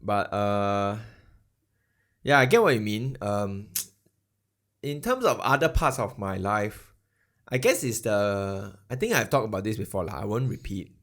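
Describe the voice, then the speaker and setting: A man's voice: fairly low pitch, expressive, measured pace, fairly bright tone. A man, co-hosting a podcast in a rambling, reflective chat. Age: 30s. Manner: rambling, reflective, ends phrases with lah. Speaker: podcast co-host. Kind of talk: casual chat.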